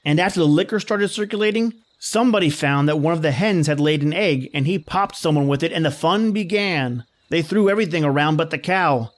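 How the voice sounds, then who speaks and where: A man's voice: fairly high pitch, fairly quick, few pauses, close to an everyday voice. A man playing a grumbling, slangy young fiancé in an old-time radio drama, with a wry, exasperated manner. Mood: exasperated, sardonic. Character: grumbling wisecracking young fiancé dreading his boss-lady's party. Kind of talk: 1930s radio drama acting.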